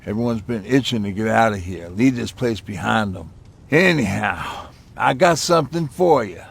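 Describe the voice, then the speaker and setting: Easygoing mechanic dude in a war game, husky and full of gravel, casual and a bit wry. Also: male voice, on the low side, expressive, moderate pace, full character voice. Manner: casual, folksy. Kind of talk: video game dramatic acting.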